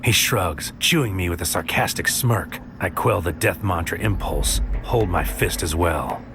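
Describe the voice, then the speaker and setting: A brooding, bitter psychic soldier played by a man narrates in a very deep voice over prominent music. The phrases are clipped and poetic, in the style of a noir spoken-word audio drama. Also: unhurried. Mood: grim.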